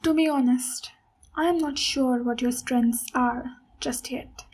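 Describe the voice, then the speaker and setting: A woman playing a big, friendly female ogre warrior in an ASMR roleplay, speaking gently and encouragingly as a mentor. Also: high voice, steady tone, takes it slowly, a little hiss or hum. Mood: earnest, encouraging. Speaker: ASMR voice actor. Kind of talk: ASMR roleplay, slow intimate monologue.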